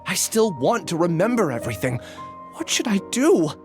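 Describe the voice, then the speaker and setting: A man's voice: high voice, sing-song, normal speed, music in the background. An actor playing a timid, earnest young man in a video game, nervous and hesitant. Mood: troubled, unsure.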